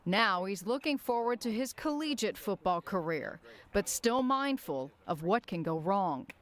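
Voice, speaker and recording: A woman's voice: medium pitch, lively intonation, unhurried, a bit bright. A woman with an American accent delivering scripted news narration as an investigative television reporter.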